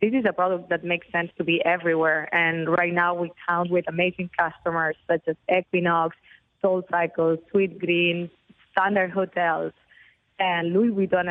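A woman's voice: fairly low pitch, no room sound. A woman with a Barcelona Spanish accent, a company founder giving an upbeat, fluent radio interview over the phone. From Spain. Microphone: phone line. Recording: tinny, dry phone line.

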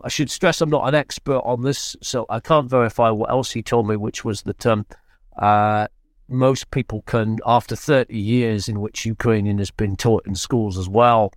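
A British male correspondent talks through his analysis in an interview. His voice sits fairly low and is recorded dry and close. He speaks haltingly, restarting phrases as he goes. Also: no room sound. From Britain. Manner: halting, hesitant, measured with frequent restarts. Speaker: foreign correspondent, interview guest. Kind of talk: unscripted analysis in podcast interview.

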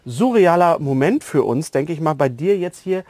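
Higher-pitched male podcast co-host. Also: lively intonation, normal speed, darkish tone, no echo. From Ruhr area, Germany. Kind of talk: casual interview chat.

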